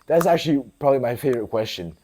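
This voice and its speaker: A man's voice: medium pitch, animated, very fast, a little mellow. A man, a fitness coach answering viewer questions in a blunt, casual way, swearing and calling the viewer bro. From Portugal. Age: 20s. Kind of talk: casual Q&A vlog.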